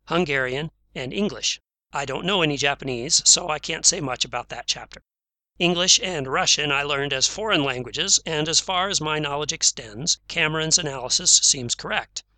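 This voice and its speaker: A man reading a written review aloud in a measured, formal way, saying the quotation marks out loud. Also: a little high, no echo.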